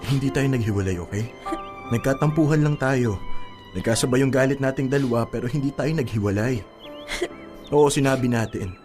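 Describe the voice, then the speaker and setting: Actor as an earnest, soothing young Filipino boyfriend. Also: male voice, medium pitch, brisk, natural voice. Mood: soothing, coaxing. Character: earnest, conciliatory young boyfriend reassuring his fiancée. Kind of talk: radio drama acting. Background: music behind the voice.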